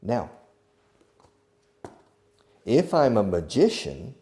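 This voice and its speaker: A man teaching a beginner English lesson, explaining slowly and acting out each phrase, with short glosses in another language. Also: on the low side, very animated, warm tone. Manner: slow, deliberate, demonstrative. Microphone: video recording.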